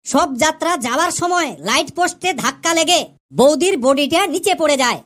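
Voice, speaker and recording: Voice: sits high, animated, moderate pace, fairly bright tone. A woman voicing a cheeky, argumentative schoolboy pupil in a comedy cartoon, long-winded with smart-aleck answers. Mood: earnest storytelling.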